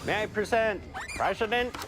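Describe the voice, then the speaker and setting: A man voicing a formal, excitable translator robot with theatrical old-comedian bluster in a sci-fi parody sketch. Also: sits high, moderate pace, background noise, voice pushed up, close to an everyday voice.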